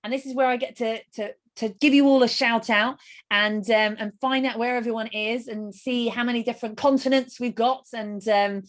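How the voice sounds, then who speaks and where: A middle-aged woman hosting a live webinar over a video call, enthusiastic and gushing as she rattles off quick lists. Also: fairly high pitch, fairly even intonation. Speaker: webinar host.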